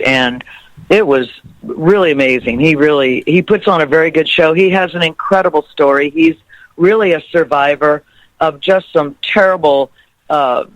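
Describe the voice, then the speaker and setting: Laughing musician guest, dry close sound. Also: male voice, fairly high pitch, no room sound, rough recording. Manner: rambling, laughs often, warm storytelling. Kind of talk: interview chat.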